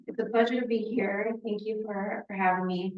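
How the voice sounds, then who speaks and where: A soft-spoken woman introducing herself as the new town administrator at a board meeting. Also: mid-range voice, steady tone, normal speed, muffled recording. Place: town hall meeting room. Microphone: table microphone.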